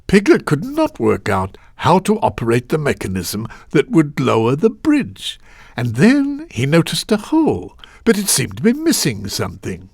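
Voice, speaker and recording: A lilting, curious storybook narrator. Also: male voice, a little high, no room sound, studio quality, full character voice. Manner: measured, articulate, gently musing with rhetorical questions. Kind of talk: storybook narration for a children's video game.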